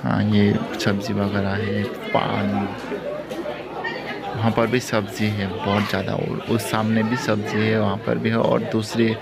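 Low, monotone man vlogging. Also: unhurried, creaky, loud music behind the voice. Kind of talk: casual walk-through vlog commentary. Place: outdoor street market, walking. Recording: loud busy outdoor crowd noise.

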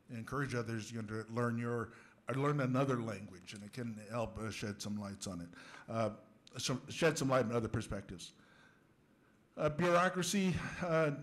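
A middle-aged man, hoarse from a cold, giving halting remarks into a handheld mic at a school board meeting, clearing his throat now and then. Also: medium pitch. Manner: halting, many fillers. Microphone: handheld microphone held close.

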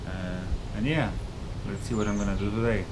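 A man's voice: steady tone, a little fast. A young man chatting casually to camera as a laid-back travel vlogger, recorded outdoors. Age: young adult. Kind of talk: casual vlog chat to camera. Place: hotel balcony, outdoors. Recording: noisy outdoor audio with low rumble. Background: rumbling background noise.

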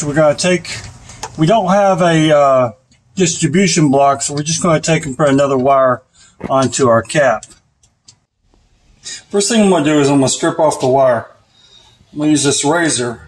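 A man in his thirties giving a casual, offhand hands-on product review and tutorial while he works. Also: on the high side. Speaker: host of a DIY car audio video. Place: home garage, at the car and workbench. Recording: roomy echo, faint noise and clicks.

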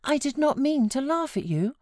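A lively young British girl played by a woman narrator from a classic storybook, haughty and teasing. Her pitch is raised and jumps about, in a dry recording. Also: moderate pace, no room sound. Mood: pleading, chiding. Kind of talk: storybook character reading.

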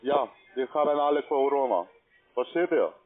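A non-native man with a foreign accent making a halting sales call in broken grammar as a call-center agent. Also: mid-range voice, animated. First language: not German. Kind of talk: phone sales talk. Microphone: telephone. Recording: tinny narrow-band phone line.

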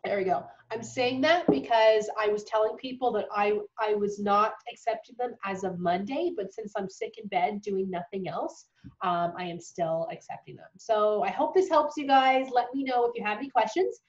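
A woman's voice: mid-range voice, normal speed. A woman in her thirties with a cold, talking casually to camera from a bedroom, with lots of ums and restarts. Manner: chatty, many ums and restarts. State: sick with a cold, voice going. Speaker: dental hygiene tutor. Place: small bedroom at home. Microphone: webcam at desk distance. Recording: dull lo-fi webcam audio.

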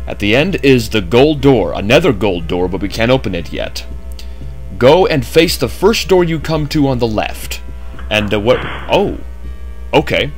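A man's voice: medium pitch, sing-song, unhurried, soft background music. A man narrating a game walkthrough in a chatty way with jokey asides, just woken up. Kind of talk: casual gameplay commentary.

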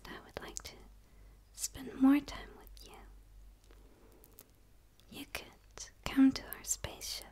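A young woman playing a gentle, curious alien in a whispered ASMR roleplay, speaking in a soothing, hushed way with pauses, close to the microphone. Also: sits high. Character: gentle, curious dark female forest alien.

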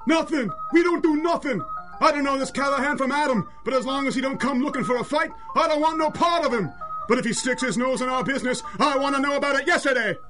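A blustering male gang boss from a radio play, pitched very high. He fires off tough slang at a rapid clip, angry and bossy, with music underneath. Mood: blustering anger. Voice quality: tough slangy gangster speech. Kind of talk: 1930s radio acting.

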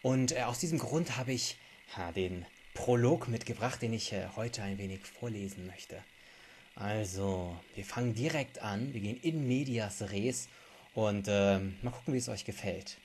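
A man's voice: fairly low pitch, moderate pace, a little mellow, slight background noise. A young adult man, an author reading aloud and chatting for his video blog. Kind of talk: book reading and casual vlog talk. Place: small indoor room.

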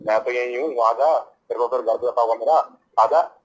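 A man's voice: mid-range voice, normal speed. A young man with a North Indian accent, scolding and confrontational in a heated argument over a phone speaker. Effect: phone call on speaker. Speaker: prank call recipient.

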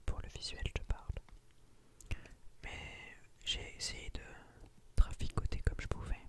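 A woman whispering breathily for an ASMR video in short, hushed phrases, close to the microphone, addressing her viewers. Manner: slow, hushed delivery with short broken phrases. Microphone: close microphone on a boom arm.

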